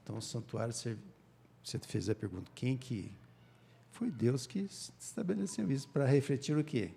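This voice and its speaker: Mellow, husky, creaky Brazilian man. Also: mid-range voice, expressive, moderate pace. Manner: explains through rhetorical questions. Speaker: Bible study panelist. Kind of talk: explanatory religious discussion.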